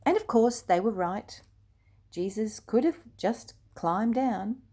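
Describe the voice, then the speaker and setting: Slow, gentle older woman reading a story. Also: fairly high pitch, expressive. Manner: slow, gentle and hushed, dropping to near whispers. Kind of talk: reading a children's storybook aloud.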